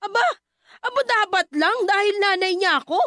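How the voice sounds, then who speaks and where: A woman's voice: extremely high pitch, moderate pace, sharp tone, no room sound, clean studio sound. An actress playing an abusive, drunken, bitter mother in a Filipino radio drama, scolding and belittling. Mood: furious, bitter. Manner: scolding, belittling, hot-tempered.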